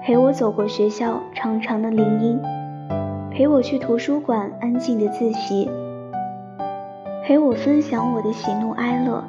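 Shy young heroine of a romance audio drama, played by a woman: high-ish, soft, sentimental, piano underscore. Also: unhurried, lightly acted. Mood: wistful, tender. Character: shy romantic young woman narrating her love story. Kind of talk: Chinese radio drama, sentimental first-person narration. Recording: slightly dull sound under piano music.

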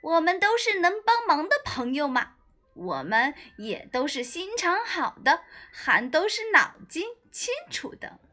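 Woman acting a storybook wildcat, cocky, boastful, emphatic, high and bright. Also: very animated, unhurried, faint music behind, heavily acted. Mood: proud, confident. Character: cocky, eager wildcat friend, proud and reassuring.

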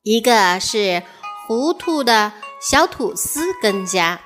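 Slow, expressive woman telling a fairy tale. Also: mid-range voice, faint music behind. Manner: measured, expressive storytelling. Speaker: storyteller. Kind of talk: scripted children's fairy-tale narration.

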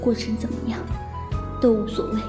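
A woman's voice: on the high side, little pitch movement, normal speed, music in the background, natural voice. A young woman playing an anguished, self-sacrificing older sister in an audio drama. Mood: sorrowful. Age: young adult. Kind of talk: Chinese audio drama acting.

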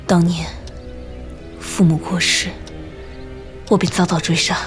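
An actress playing a guarded, haunted and quietly determined woman in a modern audio drama. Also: lowish voice, level tone, unhurried, lightly acted. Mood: bitter, haunted. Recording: voice under prominent background music. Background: music.

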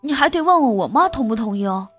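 A woman's voice: on the high side, expressive, quick pace, a bit bright, faint music behind. A woman playing a hurt, earnest little boy in a radio drama, with a childlike, plaintive manner. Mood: hopeful, timid.

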